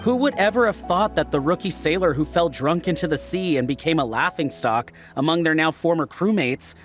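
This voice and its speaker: Game role: male actor as an affable, proud sailor lookout with a raised pitch, explaining things chattily. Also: lively intonation, brisk, faint music behind, lightly acted. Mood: fond, self-deprecating. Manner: chatty, explanatory, self-deprecating. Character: proud, friendly sailor lookout teaching weather lore. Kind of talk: video game character acting.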